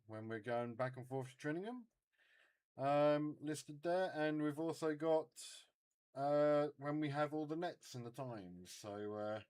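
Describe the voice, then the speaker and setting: A man hosts an informal radio net with a smooth, clean voice at a middling pitch. He chats casually to operators and stream viewers, feeling his way through sentences in a halting manner. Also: a little mellow.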